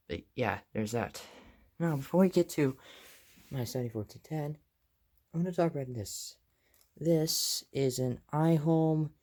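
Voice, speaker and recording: A man's voice: medium pitch, warm tone. A man giving a casual, rambling show-and-tell as a hobbyist vlogger, offhand and full of detail.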